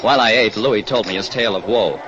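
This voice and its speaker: A man playing a wry, steady American private detective, narrating colloquially in 1940s radio drama style. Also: fairly low pitch, fast, lightly acted. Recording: dull, clearly noisy old radio recording.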